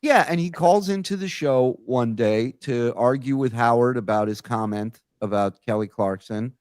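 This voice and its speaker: A man's voice: medium pitch, normal speed, a little mellow, no room sound, studio quality. A man hosting a casual talk show, telling stories in a blunt, profane way. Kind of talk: casual conversational storytelling.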